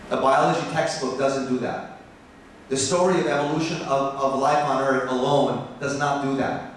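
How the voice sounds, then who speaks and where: An American man, a biology professor, giving a passionate conference talk that turns animated as he makes his points. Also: a little hiss or hum. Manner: passionate, rising in pitch when animated. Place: conference hall. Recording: echoey hall from far off.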